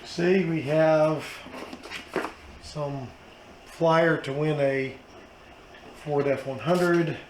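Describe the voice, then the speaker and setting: A hoarse man, hosting a casual unboxing vlog with plenty of fillers. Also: on the high side. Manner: chatty, self-deprecating, many fillers. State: hoarse, losing his voice. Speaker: car channel host. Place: outdoors then indoors at home. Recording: echoey room with background noise.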